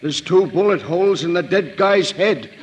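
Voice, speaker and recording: An actor playing a blunt, excitable and obedient police sergeant in a 1940s radio crime drama. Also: male voice, high voice, moderate pace, lo-fi sound, over loud music. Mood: startled. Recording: faintly noisy old radio recording.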